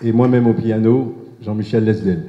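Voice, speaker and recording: A man's voice: fairly low pitch, moderate pace, slight background noise. A man, the band's pianist, formally introducing the musicians in brief announcements. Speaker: band pianist. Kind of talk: introducing band members. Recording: dull reverberant hall with faint crowd.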